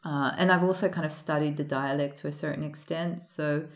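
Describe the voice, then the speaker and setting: A woman's voice: sits low, steady tone, brisk, echoey room. A woman who grew up in Australia, answering interview questions in a thoughtful, hesitant way with many fillers and restarts. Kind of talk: casual interview conversation.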